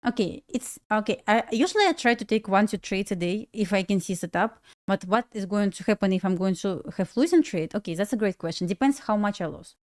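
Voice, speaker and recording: A woman's voice: mid-range voice, a little mellow. Young belarusian woman w/ russian accent, chatty livestream host, laughs a lot, stop-start talk. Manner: chatty, laughs often, restarts and halting phrasing. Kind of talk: casual livestream chat.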